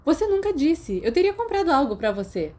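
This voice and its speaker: A woman voicing a perky, curious and eager teenage schoolgirl in an audiobook, with a bright, excitable delivery. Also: high voice, brisk, pitched above the performer's own voice. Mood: delighted surprise.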